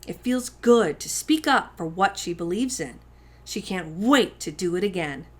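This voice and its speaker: A woman's voice: fairly low pitch, lively intonation, a little slow. A woman hosting children's storytime, reading a picture book aloud expressively, with dramatic emphasis on key words.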